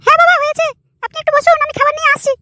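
A woman voicing a dutiful, pleading young daughter-in-law in a comic cartoon, squeaky and placating. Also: level tone, rapid-fire, sharp tone, slight background noise.

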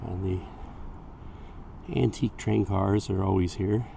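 A man with a lowish voice chats easily as he strolls, pointing things out along the way. He is recorded outdoors on a camera mic, with traffic and city noise clearly heard behind him. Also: a little slow. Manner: relaxed, chatty, points out sights as he walks. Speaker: vlogger on a city walk. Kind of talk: casual walking tour commentary.

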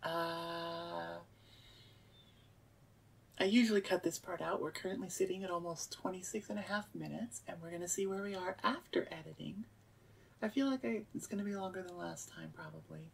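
Chatty woman with a creaky voice. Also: on the low side, moderate pace, distant mic, a little hiss or hum. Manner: chatty, self-correcting, emphatic repeats. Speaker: audio roleplay creator and voice actor. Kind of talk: casual outro chat to listeners. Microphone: close studio-style microphone, seated.

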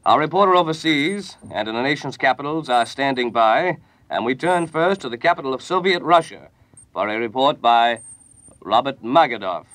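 An American man delivers news in 1940s radio style. His reading is formal and measured, at a medium pitch. The studio sound is dry, with no room around the voice. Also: lively intonation, fairly bright tone, dry recording.

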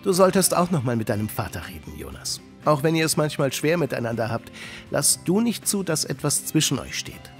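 A man playing a kind, wise uncle who gently instructs children in an audio drama. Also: fairly high pitch, big pitch swings, fairly quick, soft background music. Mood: kindly, firm.

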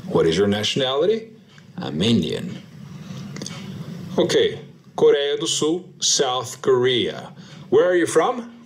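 Slow, lively Brazilian teacher drilling phrases. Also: male voice, medium pitch, slight background noise. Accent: Brazilian Portuguese. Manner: models short answers slowly and repeats them for practice. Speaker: English teacher. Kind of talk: slow drill practice lesson.

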